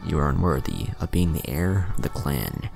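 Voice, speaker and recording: A man's voice: a bass voice, lively intonation, normal speed, gravelly, over music, full character voice. A man voicing a stern clan leader who speaks in a cold, hard tone.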